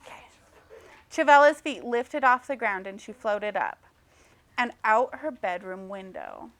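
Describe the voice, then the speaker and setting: A woman teacher reading a storybook aloud expressively to children, pausing to check in with simple questions. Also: mid-range voice, very animated, fairly bright tone.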